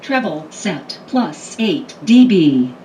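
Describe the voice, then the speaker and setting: Slow, lowish female automated voice prompt. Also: big pitch swings, loud music behind the voice. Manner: clipped, impersonal phrases. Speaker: device voice prompt. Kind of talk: automated menu announcements.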